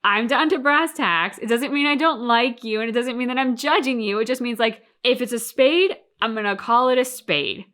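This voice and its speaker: A woman's voice: on the high side, normal speed, hardly any pauses, a bit bright. A woman co-hosting a reaction channel, talking through her thoughts casually and emphatically, with plenty of colloquial turns of phrase.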